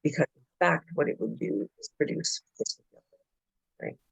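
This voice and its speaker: A hoarse man with a gravelly, husky voice talks a board through governance principles. He explains in a halting way, pausing often as he builds his points. The sound is close and dry, with no room echo. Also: fairly high pitch, wildly swooping pitch, a bit bright. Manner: explanatory, halting with frequent hesitations. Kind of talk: formal presentation at a board meeting. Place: public board meeting room.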